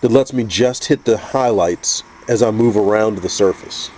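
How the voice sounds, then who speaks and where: A man with a stuffy, congested voice from a cold, calmly explaining a painting technique step by step with frequent restarts. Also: on the low side, normal speed, slight background noise, rough recording. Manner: calm, methodical, with frequent restarts. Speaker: custom figure painter teaching. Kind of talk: painting tutorial narration.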